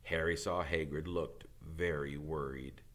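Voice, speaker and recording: A man's voice: rumbling low, steady tone, unhurried, fairly bright tone. A man reading a storybook aloud as a teacher would, in dramatic narration.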